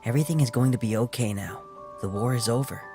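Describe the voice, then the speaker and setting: A man playing a gentle, cheerful, patient young savior in an audio drama, with a kindly, reassuring manner. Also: medium pitch, fast, lightly acted. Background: music.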